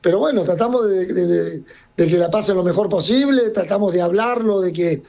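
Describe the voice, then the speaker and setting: A middle-aged man from Serbia, a circus owner, chats casually in an interview. His voice sits high for a man, and he rambles, restarting and repeating words as he goes. The recording is dull and lo-fi. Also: fairly quick, creaky, mellow. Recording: dull lo-fi sound.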